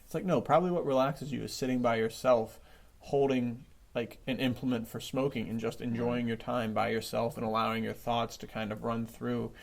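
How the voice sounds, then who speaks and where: A man co-hosting a podcast in casual, informal chat, jokey and quick to chuckle. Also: medium pitch, steady tone, vocal fry. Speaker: podcast co-host.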